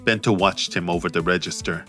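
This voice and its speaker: Low-voiced man narrating an audiobook, steady and crisp in tone. Soft music underneath. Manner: measured, steady. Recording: faint music behind.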